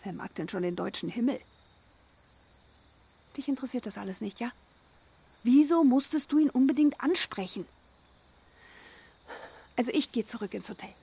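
A wary, higher-voiced exasperated wife. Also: female voice, lively intonation, normal speed, creaky, pauses often, noisy background. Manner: reproachful, sceptical, pressing. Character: wary, exasperated middle-aged German wife on holiday. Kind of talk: naturalistic radio drama dialogue.

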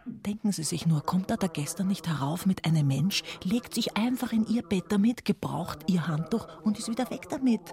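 An actress playing a gossipy, disapproving landlady in a radio play, hushed and indignant. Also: mid-range voice, moderate pace, lightly acted. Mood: indignant, confiding. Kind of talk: German radio play acting.

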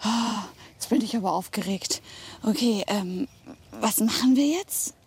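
A woman reporting for a children's wildlife show, commenting spontaneously with an excitable, curious and expressive manner. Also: medium pitch, measured pace, husky. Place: on location, outdoors. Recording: faint outdoor ambient noise.

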